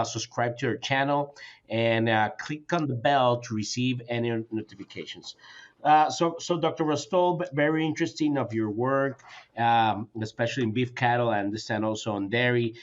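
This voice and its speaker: A man's voice: medium pitch, highs cut off. A man hosting a podcast interview, speaking hesitantly with many fillers and restarts. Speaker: podcast host.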